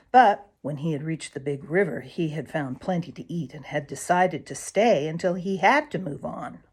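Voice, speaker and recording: A woman reading a children's storybook aloud as a storyteller, with dramatic swells and emphatic pauses. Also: sits low, breathy edge. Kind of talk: expressive children's storybook narration.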